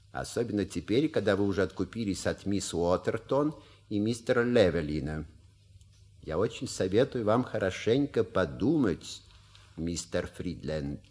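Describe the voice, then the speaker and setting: A man playing a shrewd, courteous manservant in an audio play, with measured, ironic and rhetorical delivery. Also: fairly low pitch, very animated, darkish tone. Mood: quietly persuasive. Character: suave, shrewd manservant and proud father. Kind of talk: theatrical audio play acting.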